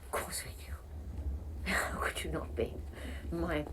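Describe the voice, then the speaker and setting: An actress playing a resentful, bitter aunt in a dramatic film, heard as a distant soundtrack. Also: female voice, sits low, slow pace, fairly bright tone, in a whisper. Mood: bitter, scornful. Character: resentful, bitter aunt ranting about her sister.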